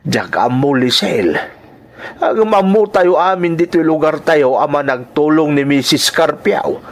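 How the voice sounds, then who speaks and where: A man's voice: fairly high pitch, animated, a little slow. Man acting tired, kindly farmer father. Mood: matter-of-fact, encouraging. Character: tired, hardworking elderly farmer father, fond and reassuring. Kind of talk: Ilocano radio-style drama acting.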